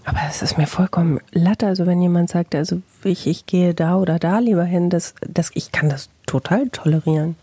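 A woman podcast host from western Germany talks in a fairly low, husky voice. Her tone is dark and relaxed as she chats and asks questions casually. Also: fairly quick, few pauses, no room sound. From East Westphalia, Germany. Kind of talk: casual conversational interview.

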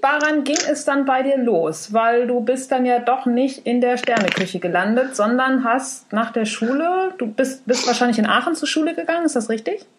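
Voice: medium pitch, normal speed, hardly any pauses. A podcast host asking curious follow-up questions in a casual interview, with short encouraging replies. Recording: noticeable background noise.

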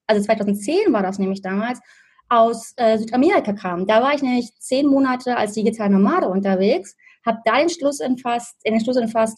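A woman in her thirties from Berlin, a guest telling her story in a relaxed, chatty way, with many fillers and restarts and frequent laughs. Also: fairly high pitch, husky. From Berlin, Germany. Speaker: interview guest. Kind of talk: casual conversational storytelling. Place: in Australia.

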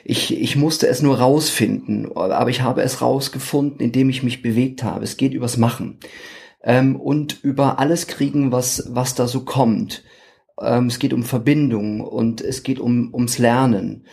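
Gravelly man with a Thuringian accent. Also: medium pitch, steady tone, measured pace, a little mellow. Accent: Thuringian German. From eastern Germany. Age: 40s. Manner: hesitant, many ums and restarts. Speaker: podcast guest, actor. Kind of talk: casual interview chat.

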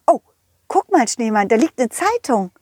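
A woman's voice: fairly high pitch, very animated, moderate pace, a little mellow, husky, no room sound, clean studio sound. A woman voicing a confident, loyal dog in a children's audio play, encouraging and reassuring, always offering a solution. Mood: eager, explaining. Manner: encouraging, reassuring problem-solver.

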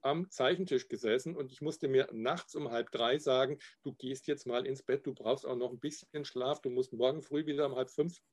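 A man in his fifties from Upper Bavaria with a light Bavarian accent, a guest telling his story in a chatty interview, pausing often with fillers. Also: medium pitch, a little mellow. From Upper Bavaria, Germany. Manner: chatty, hesitant with many fillers. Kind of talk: casual interview.

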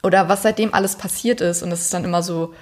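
A woman's voice: on the low side, fairly even intonation, fast talker, a little mellow. Chatty woman in her twenties. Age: 20s. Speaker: podcast co-host. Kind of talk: casual chat.

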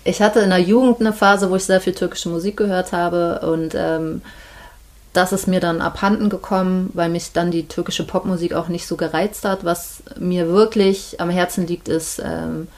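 A woman speaks with a fairly low voice in a casual conversation. She talks thoughtfully, often pausing and hesitating before she finds her words. She comes across as an easygoing interview guest. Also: steady tone, darkish tone. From Germany, Hamburg. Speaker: midwife, podcast guest.

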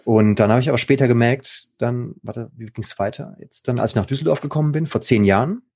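A man's voice: mid-range voice, a little fast, creaky, darkish tone, husky. A man, a mental coach talking casually and enthusiastically in an interview. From Baden, Germany. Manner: enthusiastic, rambling, slangy.

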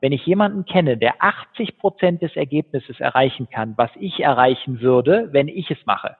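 A man speaking as a guest in an interview, telling stories quickly with fillers. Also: medium pitch, husky. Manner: fast, animated storytelling with fillers. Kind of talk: conversational interview. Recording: tinny phone-like line with long reverb.

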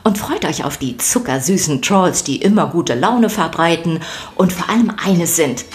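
A woman with a slightly low voice narrates a children's audio story. She reads from a script expressively, addressing her listeners directly as a storyteller would. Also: lively intonation, normal speed.

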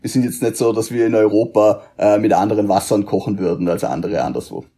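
A podcast guest from Vorarlberg in Austria, speaking with a low, mellow voice that has a husky edge. He is thoughtful and hesitant, restarting his sentences and filling gaps with "äh" as he works through his ideas. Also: fast talker. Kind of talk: conversational podcast discussion.

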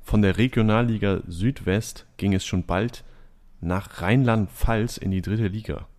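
Young guy quizmaster reading clues slow and calm, kinda low voice. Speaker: quiz host. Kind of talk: podcast quiz, reading out clues.

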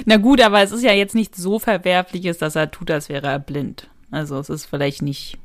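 A woman's voice: lowish voice, fairly even intonation, moderate pace, no room sound, clean studio sound. A woman co-hosting a podcast, talking in a casual, giggly and loosely phrased conversational way. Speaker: podcast co-host.

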